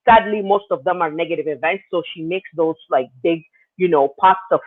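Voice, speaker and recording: A man's voice: a little high, brisk. A man from South Sudan, a guest in an interview, telling his story in a loose, rambling way with lots of fillers. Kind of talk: casual interview answers. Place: living in Canada. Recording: tinny phone line.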